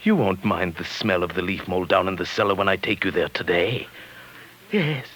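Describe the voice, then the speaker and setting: Low-voiced, coldly scheming British husband. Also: male voice, normal speed, a bit bright. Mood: gloating, menacing. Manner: precise, menacing, theatrical. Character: coldly scheming middle-aged British husband, a murderous professor. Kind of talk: 1940s radio acting. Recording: 1940s radio recording with music behind.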